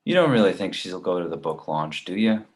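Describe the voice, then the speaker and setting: A man's voice: low-pitched, animated, fairly quick. A man acting a meddling friend who gives bad advice, in a conversational comedy script reading. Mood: incredulous, scheming. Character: meddling male buddy offering bad advice.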